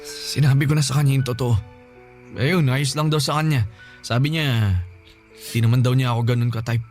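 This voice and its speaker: A man's voice: medium pitch, steady tone, moderate pace, a little mellow, faint music behind, close to an everyday voice. An actor playing an earnest, pleading young Filipino man in love with his best friend in a radio drama. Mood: soft, reassuring. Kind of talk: emotional radio drama acting.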